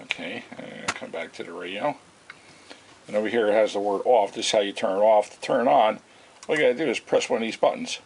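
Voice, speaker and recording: A man with a fairly low voice talks through a hands-on demonstration in an informal, unscripted way. His delivery is halting and rambling, like a hobbyist showing something at home. The amateur recording carries a light hiss. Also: vocal fry, a little mellow. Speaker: video host demonstrating vintage radio repair. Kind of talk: casual unscripted product demonstration. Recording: hissy, noisy amateur home video.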